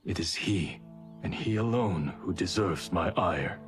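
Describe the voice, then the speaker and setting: An actor playing a solemn, honorable warrior clan leader in a video game, speaking gravely and formally. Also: male voice, low voice, animated, measured pace. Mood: earnest, forgiving. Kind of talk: cinematic video game dialogue. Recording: faint music behind the voice.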